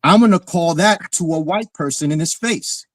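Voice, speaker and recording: A man's voice: on the high side, moderate pace. A man from the South Bronx with a New York accent, speaking bluntly and emphatically as a caller in a casual debate, repeating his phrases. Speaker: caller, military veteran. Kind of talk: casual heated discussion.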